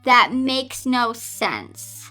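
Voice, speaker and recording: A woman's voice: a little high, sing-song, very slow, pushed and bright, faint music behind. A woman reading a story aloud dramatically, with casual, incredulous asides and theatrical exasperation. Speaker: host reading and reacting to fan fiction.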